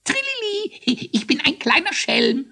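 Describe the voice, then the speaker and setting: A man voicing a tiny, impish little ghost with a squeaky, piping voice in a children's story. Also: wildly swooping pitch, unhurried, sharp tone, heavily acted. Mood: jubilant. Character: tiny impish male house ghost, cheeky rascal.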